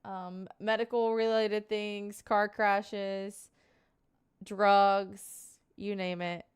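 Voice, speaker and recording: A woman's voice: medium pitch, level tone, drawn-out words, clear, resonant tone. A woman in her twenties talking casually on a live stream, answering viewer questions in a rambling, earnest way full of fillers. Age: 20s.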